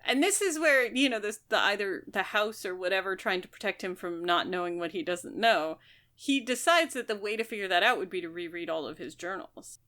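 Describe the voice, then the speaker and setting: A woman's voice: medium pitch, lively intonation, brisk, sharp tone. A woman co-hosting a podcast, discussing a book casually and analytically, thinking aloud as she goes. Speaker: podcast co-host.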